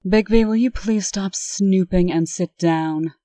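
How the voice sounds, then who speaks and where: A woman's voice: medium pitch, measured pace, warm tone, no room sound, natural voice. A woman playing a stern, bossy, no-nonsense administrator in a comic dub, curt and commanding. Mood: stern, brisk. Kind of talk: amateur comic dub acting.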